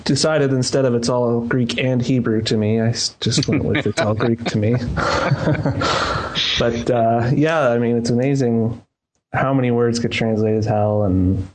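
A man in a casual discussion, relaxed and joking. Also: mid-range voice, measured pace, slight background noise, poor audio.

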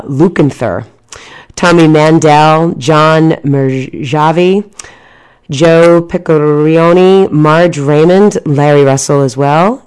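Low-voiced chatty woman radio host. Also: smooth. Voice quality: loud voice. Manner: chatty, enthusiastic, many fillers and restarts. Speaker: radio show host and numerologist. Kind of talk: casual radio interview chat.

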